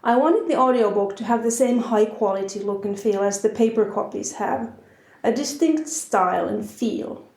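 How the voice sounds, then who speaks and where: A woman with a Finnish accent hosts a podcast from her house, talking in a measured, earnest way with a light conversational touch. Her medium-pitched voice carries a noticeable room echo. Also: darkish tone. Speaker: podcast host. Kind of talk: podcast intro and interview. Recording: roomy echo.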